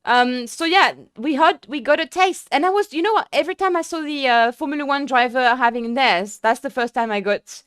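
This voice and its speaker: Chatty, excitable young woman streamer. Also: high voice, a little fast, few pauses, clear, resonant tone, dry recording, studio quality. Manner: chatty, excitable, lots of fillers and restarts. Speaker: sim racing streamer. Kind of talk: casual live-stream chat.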